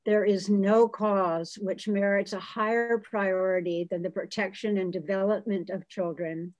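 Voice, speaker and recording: A woman's voice: medium pitch, steady tone, unhurried. Middle-aged woman hosting a video call. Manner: warm, many fillers and restarts, gushing thanks. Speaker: host. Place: home room with bookshelves.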